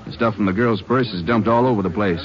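An eager deputy lawman who talks quickly, as voiced by an actor. The voice comes through muffled, lo-fi old radio audio. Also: lowish voice, natural voice. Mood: brisk. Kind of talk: 1950s radio drama acting.

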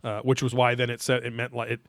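A man in his thirties talks as a podcast host in a loose, rambling recap style. His medium-pitched voice is recorded dry and close, and he swears casually as he goes, slipping into theatrical readings of quotes. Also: completely flat delivery, fast talker, gravelly, no room sound. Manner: rambling, profane, reads quotes aloud theatrically. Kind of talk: casual episode recap chat.

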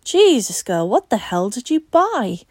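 A woman's voice: a little high, very animated, measured pace, darkish tone, husky, no room sound, clean studio sound, voice pushed up, full character voice. Woman acting a blunt, wary young woman. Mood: exasperated. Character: blunt, wary young woman, sharp-tongued best friend.

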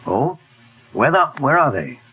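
An actor playing a calm, methodical detective in a 1940s radio drama, asking measured, probing questions. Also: male voice, mid-range voice, breathy edge, full character voice, rough recording. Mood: inquiring.

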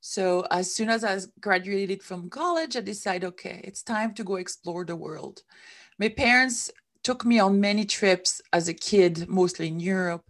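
A middle-aged woman from Switzerland, a guest telling her story in a candid interview, speaking English as a with small grammar slips. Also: fairly low pitch. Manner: reflective, with some non-native grammar slips.

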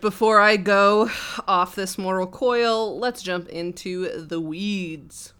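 A woman co-hosting a podcast in playful, mock-dramatic banter, her register deepened by a cough and pushed out a little like a radio DJ. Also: takes it slowly, fairly bright tone, smooth. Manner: radio DJ-style projection, powering through sentences. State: has a cough. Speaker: podcast co-host. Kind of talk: casual comedic podcast banter.

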